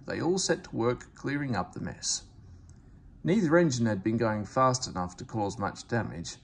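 Expressive man reading a children's story. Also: mid-range voice, gravelly, slight background noise. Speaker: storybook reader. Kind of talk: read-aloud children's story narration. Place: indoors at home, book on camera.

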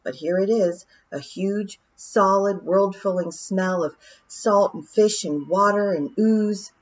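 A woman's voice: medium pitch, takes it slowly, slight background noise, pitched above the performer's own voice, natural voice. A woman voicing a determined, clever young girl, firm and insistent. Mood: earnest. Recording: dull lo-fi audiobook recording.

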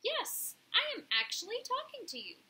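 A woman's voice: very high voice, huge pitch swings, bright, forceful tone, pitched above the performer's own voice, exaggerated voice. A woman voicing a polite, earnest female robot mother in a storybook, speaking with formal, carefully worded phrasing. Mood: polite, curious. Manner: formal, measured wording without contractions.

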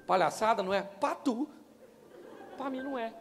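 An older Brazilian pastor jokes with his congregation and keeps asking them questions. His voice sits high for a man and has a gravelly edge. He speaks into a handheld mic in a large hall with a long reverb. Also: normal speed. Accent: Brazilian Portuguese. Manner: joking, animated, asks the crowd questions. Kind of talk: humorous marriage talk to couples. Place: church stage, large hall. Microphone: handheld microphone. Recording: live church hall with long reverb and faint music.

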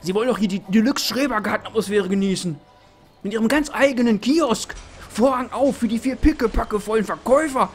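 Man acting a high-pitched, pushy estate agent. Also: fairly quick, soft background music, close to an everyday voice. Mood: gushing sales pitch. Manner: theatrical gushing sales patter. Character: pushy, overeager male estate agent, gushing and desperate. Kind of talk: scripted comedic game fan-fiction acting.

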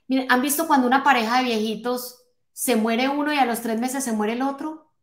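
A woman's voice: sits high, steady tone, a little fast. A woman of forty-nine giving an emphatic motivational talk as a coach, addressing listeners directly with rhetorical questions and check-in tags. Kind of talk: motivational talk to an online live audience. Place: small home office, indoors. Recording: roomy, echoing indoor sound.

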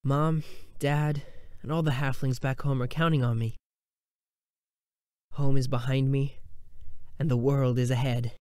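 Earnest young hero, acted by a man: medium pitch, plain, determined fantasy game delivery. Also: flat delivery, measured pace, long pauses, warm tone, clean tone, no echo, clean studio sound, close to an everyday voice. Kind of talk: fantasy character voice demo.

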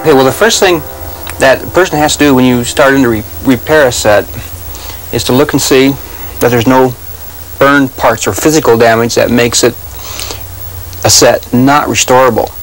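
A man's voice: mid-range voice, moderate pace. A middle-aged man giving an informal practical explanation as a repairman in a workshop, on 1980s TV sound. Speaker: professional radio repairman. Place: cluttered indoor workshop. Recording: slightly noisy 1980s TV location sound.

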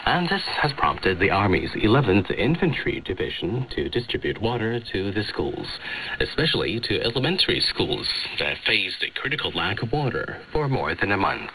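A man's voice: lowish voice, big pitch swings, normal speed, pushed and bright, slight background noise, low-quality recording. A man presenting scripted radio news over a shortwave broadcast.

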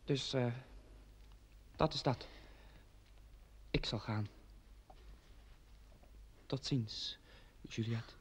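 An actor playing a timid yet nobly self-sacrificing lover, halting and theatrical, in a radio play. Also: male voice, mid-range voice, slight background noise. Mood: solemn, resigned. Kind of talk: Dutch radio play acting.

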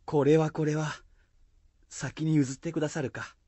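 A man's voice: on the high side, level tone, moderate pace, mellow, dry recording, close to an everyday voice. A man playing a dignified, courteous samurai lord with stately archaic speech in a period radio drama. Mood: courteous, lordly.

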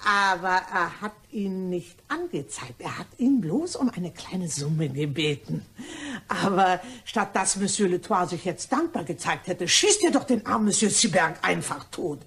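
A woman's voice: lowish voice, lively intonation, a little slow. A woman playing a gossipy, blithely indiscreet cleaning woman in a German crime radio play, telling her tales in a chatty, dramatic way. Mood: eager gossip.

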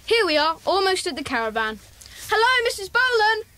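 An eager kid on a story tape, super high bright voice. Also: female voice, big pitch swings, fairly quick, exaggerated voice, poor audio. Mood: cheerful, curious. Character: bright, eager, curious schoolchild. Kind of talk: children's audiobook dramatization.

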